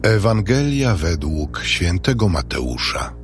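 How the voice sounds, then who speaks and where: Low, slow male title announcer. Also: sing-song, soft background music.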